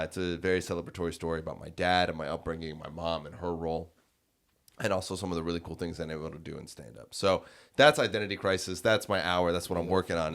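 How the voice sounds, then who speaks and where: A man's voice: low-pitched, fairly bright tone. A man from the United States, a stand-up comedian, talking openly and earnestly about his work in a casual podcast conversation. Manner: earnest, open, explaining at length.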